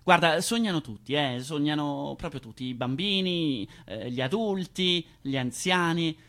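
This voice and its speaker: A man's voice: fairly high pitch, unhurried, fairly bright tone. A man playing a doting, easily amazed companion to a child in theatrical radio storytelling, with stammered repetitions and coaxing exclamations. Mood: hushed, thoughtful. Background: music, plucked guitar.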